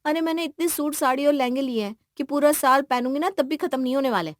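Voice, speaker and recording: A woman voicing an emotional, animated young bride-to-be, an affectionate daughter and sister in an audio drama. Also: high voice, fast talker, no echo, studio quality, pitched above the performer's own voice, lightly acted. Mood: teasing.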